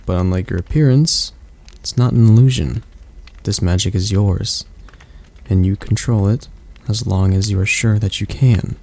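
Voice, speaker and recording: A man voicing a male demon tutor who calmly coaches a young royal in an ASMR roleplay, speaking slowly and softly in a coaxing way. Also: on the low side, gravelly. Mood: reassuring. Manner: slow, soft-spoken, coaxing.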